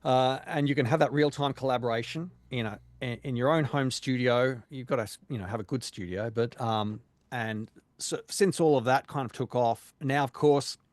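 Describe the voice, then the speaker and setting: Man, voice actor being interviewed, measured and halting, mid-pitched, dry sound. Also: dry recording. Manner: measured, hesitant, many ums and restarts. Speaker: voice actor guest. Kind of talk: casual interview conversation.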